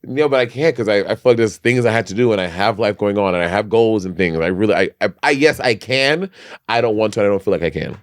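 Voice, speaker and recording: A man co-hosting a podcast in casual chat, animated and campy, who swears freely. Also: fairly low pitch, fast, dry recording, clean studio sound. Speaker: podcast co-host.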